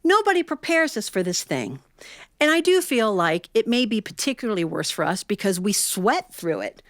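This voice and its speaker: A woman's voice: mid-range voice, normal speed, no room sound. Middle-aged woman, folksy storyteller, dry close sound. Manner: folksy, animated, acts out quoted dialogue. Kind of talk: humorous personal storytelling to a live audience.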